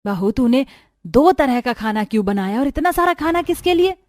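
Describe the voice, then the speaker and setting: A woman's voice: sits high, lively intonation, moderate pace, a little mellow, dry recording, clean studio sound. A woman voicing a proud, quarrelsome middle-aged mother-in-law in a family cartoon, scolding in an indignant, emphatic way. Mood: angry, scolding. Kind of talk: Hindi cartoon story dialogue.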